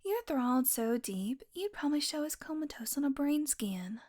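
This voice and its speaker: Smug lady vampire, done by a voice actress, highish voice, teasing and drawly, drops to breathy murmurs, audio roleplay. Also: sing-song, moderate pace, darkish tone. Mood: teasing, gloating. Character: smug, teasing female vampire, predatory old enemy. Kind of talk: intimate dramatic audio roleplay.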